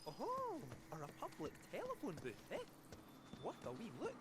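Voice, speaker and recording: A man's voice: very high voice, wildly swooping pitch, normal speed, very noisy, full character voice. An actor playing a cheeky male talking cat in a video game, teasing and chirpy. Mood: chatty.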